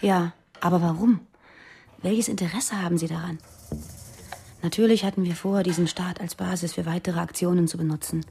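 Actress playing young militant woman wanting out, lowish mellow voice, hushed n urgent, radio play, some noise behind. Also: steady tone, moderate pace, natural voice. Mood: earnest, confiding. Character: uneasy young female militant confiding secrets, wanting out. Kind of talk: German radio drama dialogue.

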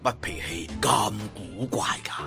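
A man's voice: on the low side, a little slow, gravelly, sharp tone, soft background music, voice pushed down, exaggerated voice. A man with a Cantonese accent voicing a humble, anxious elderly Buddhist monk in an audiobook, sighing and deferential. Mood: quietly puzzled.

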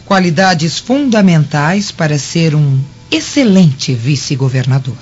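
A woman's voice: low-pitched, big pitch swings, measured pace, a little mellow. A Brazilian woman playing a composed, courteous Roman governor's wife in a radio drama, genteel and formal. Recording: muffled audio with music behind.

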